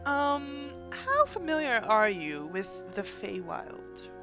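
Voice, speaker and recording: A woman's voice: a little high, very animated, slow pace, pushed and bright, dull audio, faint music behind, full character voice. A woman voicing an elegant kobold trickster. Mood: wry. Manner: elegant, smooth, mock-wounded. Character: evasive, wry fey-pact trickster in kobold form.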